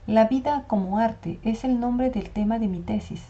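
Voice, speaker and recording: A woman teaching a university class, with a very dark, mellow voice. She works through her points methodically and asks questions that she then answers herself. The audio is dull and lo-fi. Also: on the high side, normal speed. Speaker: university lecturer. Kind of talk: online lecture with slides.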